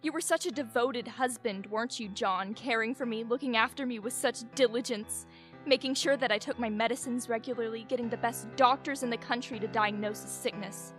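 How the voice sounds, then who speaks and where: A woman's voice: a little high, a bit bright, soft background music, natural voice. A young woman playing a knowing, scornful dead wife speaking through her letter in a theatrical radio play. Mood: bitterly sarcastic. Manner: sarcastic, deliberate. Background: musical instrument playing underneath.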